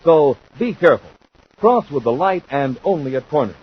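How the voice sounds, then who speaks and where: A man narrating as a 1950s radio announcer, in a dramatic, deliberate scripted delivery. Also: fairly high pitch, expressive, slightly rough. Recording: muffled, noisy 1950s radio recording.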